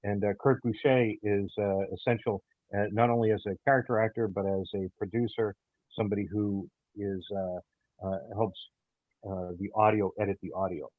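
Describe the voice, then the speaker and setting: A man speaking haltingly in an interview as an audio drama writer, with frequent hesitant pauses. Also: lowish voice, steady tone. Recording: tinny, band-limited call audio, roomy echo.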